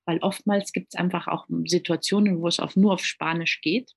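A woman's voice: fairly low pitch, fairly even intonation, normal speed, slightly rough. A woman from Germany, a native speaker of German and Italian, talking earnestly as an interview guest, often repeating words while she searches for the next one. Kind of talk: casual conversational interview.

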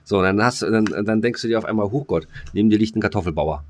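A man's voice: lowish voice, quick pace, a little mellow. A man hosting a podcast in casual, joking banter, rambling colloquially and often repeating his words. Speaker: podcast host.

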